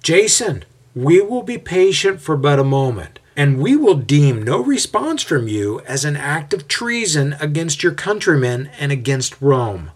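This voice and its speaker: A man's voice: mid-range voice, very animated, moderate pace, breathy edge, pitched above the performer's own voice. Jaden, a man voicing a scheming mob leader. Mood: menacing. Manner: raised, calculated and coolly menacing. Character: scheming smooth-talking male mob leader.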